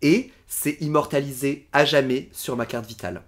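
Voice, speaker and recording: A man in his thirties co-hosting a podcast, his voice middle-pitched and even. He keeps things playful, firing off quick questions and slipping in puns. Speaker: podcast co-host. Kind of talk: casual chat.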